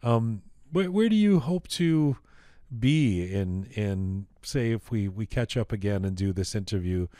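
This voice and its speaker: Low-voiced male podcast host, dry sound. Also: expressive, a little slow, no room sound. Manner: effusive, warm praise, repeats himself. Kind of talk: conversational interview.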